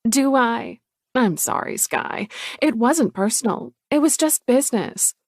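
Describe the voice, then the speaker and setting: A woman's voice: on the high side, lively intonation, unhurried, no echo, clean studio sound, pitched above the performer's own voice. Woman voicing a sneering defiant sister. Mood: scornful. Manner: sneering, dismissive. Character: scornful adult sister, cold, defiant.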